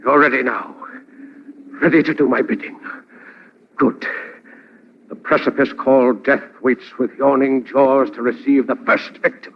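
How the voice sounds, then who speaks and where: An actor playing a small, vengeful hypnotist villain in an old radio drama, giving slow, menacing commands. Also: male voice, medium pitch, fairly even intonation, close to an everyday voice. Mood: menacing, commanding. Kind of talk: old-time radio acting. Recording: noisy, dull old radio recording.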